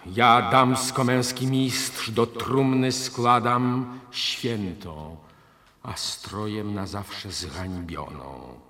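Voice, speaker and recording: A grandiose master of fashion in a radio play. His voice is lowish and forceful, and he declaims very slowly and solemnly. Every line rings in a long reverberant hall. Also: full character voice. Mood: solemn cursing. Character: pompous male master fashion designer solemnly cursing clothing. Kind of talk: theatrical radio play acting.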